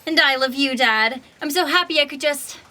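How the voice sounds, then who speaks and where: A woman's voice: high voice, moderate pace, sharp tone. A woman playing a gushing, devoted young daughter in a comedic sci-fi audio drama. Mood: gushing joy.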